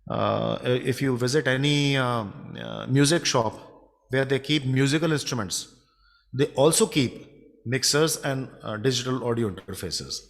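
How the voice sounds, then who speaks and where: Hesitant baritone man demonstrating a mixer. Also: a little slow, gravelly. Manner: hesitant, many fillers and restarts. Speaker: music studio instructor. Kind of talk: live audio mixing demonstration. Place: home studio. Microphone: condenser microphone at a distance.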